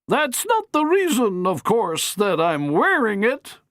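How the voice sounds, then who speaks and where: A reader putting on a pompous furious matron. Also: female voice, a little high, wildly swooping pitch, no echo, clean studio sound, pitched above the performer's own voice, full character voice. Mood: indignant, grand. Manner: haughty, theatrical, slow declamation.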